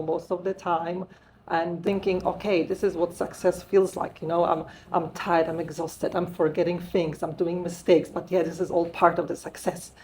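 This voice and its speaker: Polish woman telling her story, lowish voice. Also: darkish tone, slight background noise, rough recording. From Poland. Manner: talkative, many "uh" fillers, earnest. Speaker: guest, wellbeing strategist. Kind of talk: interview, personal story.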